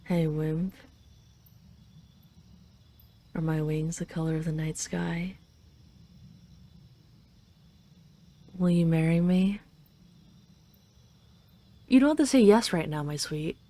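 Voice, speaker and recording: A woman's voice: on the low side, a little slow, pauses often, dark tone. A woman playing a possessive, doting dragon queen in an intimate roleplay audio, speaking in a teasing, tender, lingering way. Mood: tender, hopeful.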